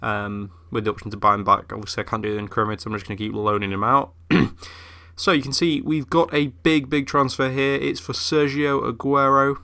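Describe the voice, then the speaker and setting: A man's voice: medium pitch, fairly even intonation, a little fast, pushed and bright. A young man who is ill, chatting casually through game commentary with lots of fillers. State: ill, voice a bit off. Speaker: gaming YouTuber.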